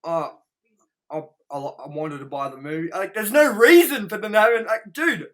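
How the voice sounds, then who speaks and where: A man co-hosting a movie review show, talking in casual, profane banter with an exasperated, animated manner. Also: high-pitched, moderate pace. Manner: exasperated, animated ranting, mimicking imagined people. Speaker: podcast co-host reviewing movies.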